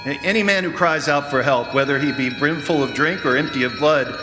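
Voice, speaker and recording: A man playing an idealistic, gentle ambulance doctor in a radio drama, speaking earnestly and formally. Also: mid-range voice, fairly quick, close to an everyday voice. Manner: earnest, soothing, formal, lofty. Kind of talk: old-time radio drama acting. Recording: echoey hall stage recording, faint music behind.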